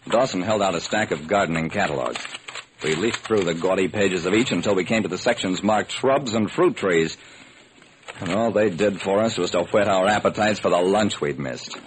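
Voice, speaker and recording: An actor playing a wry, sharp-witted detective who narrates and acts in an old-time radio drama. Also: male voice, deep voice, normal speed, lightly acted. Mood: wry, narrating. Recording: noisy vintage radio recording.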